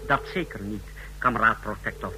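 An actor in a radio drama playing an efficient, deferential officer of a totalitarian lab, explaining in clipped phrases. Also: male voice, on the low side, fairly quick, natural voice. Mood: matter-of-fact. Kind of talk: radio drama acting in Dutch. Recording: radio drama with faint noise.

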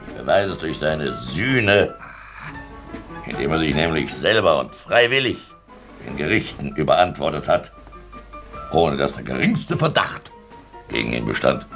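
Drunk preachy russian ranter, deep and slow, theatrical radio play, music behind. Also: male voice, fairly bright tone, full character voice. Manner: theatrical, halting, oratorical, breaking into chuckles. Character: drunken, fervent Russian man, ranting and preaching. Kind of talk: German radio drama acting.

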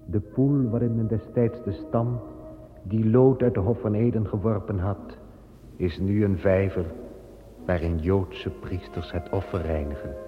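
A man narrating an old radio drama in a grave, measured tone. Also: lowish voice, steady tone. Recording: dull old recording with long reverb and soft music.

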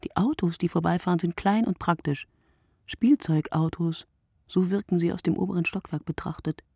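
An actress playing a weary, observant woman in a radio play monologue, speaking in measured, short phrases with a husky, breathy voice that often drops to near whisper. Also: lowish voice, a little mellow, dry recording, clean studio sound. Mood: reflective. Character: weary, observant woman watching early-morning street from her window. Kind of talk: literary radio play monologue, scripted narration.

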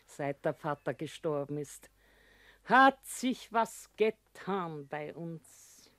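An actress playing a homely Bavarian small-town widow who talks plainly in a radio drama. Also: female voice, sits low, animated, slow, fairly bright tone, dry recording, exaggerated voice. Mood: plain, wistful.

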